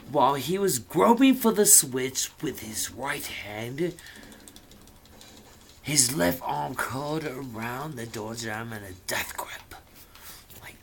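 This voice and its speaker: A man casually reading a novel aloud, theatrical and playful, dropping in irreverent asides of his own. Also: medium pitch. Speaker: amateur reader of a novel.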